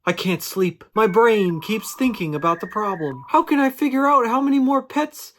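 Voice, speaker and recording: A woman's voice: a little high, lively intonation, normal speed, lightly acted. A woman voicing an eager, imaginative young boy dinosaur in a children's storybook, with a dramatic, exaggerated delivery. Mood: musing. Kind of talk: children's storybook character reading.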